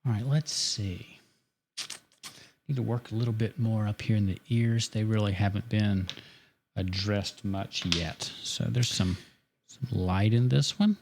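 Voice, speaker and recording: A man's voice: on the low side, steady tone, unhurried, creaky, a little mellow, slight background noise. A man drawing on a live art stream, chatting casually and joking while he thinks aloud about his strokes. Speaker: artist demonstrating a drawing.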